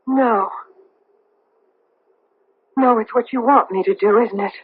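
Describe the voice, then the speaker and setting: A haunted woman out for vengeance. Her husky voice moves slowly and deliberately, every phrase an accusation. The sound is thin and tinny, like an old radio broadcast. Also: on the high side. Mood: resolute. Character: haunted, vengeful woman, survivor of a wartime massacre. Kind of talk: dramatic radio play acting.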